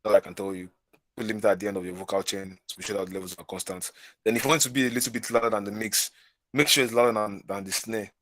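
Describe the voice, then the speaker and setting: A man with a Nigerian accent, a music producer guest in a casual group chat, talking quickly and repeating words as he thinks. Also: lowish voice, steady tone, a bit bright. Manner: fast, repeats himself while thinking.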